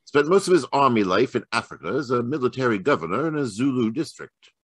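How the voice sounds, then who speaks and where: A man playing a keen, musing gentleman detective in a radio mystery, deliberate and wry, performed over a video call. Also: medium pitch, big pitch swings, breathy edge, dry recording. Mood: musing, amused. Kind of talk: 1940s radio mystery acting.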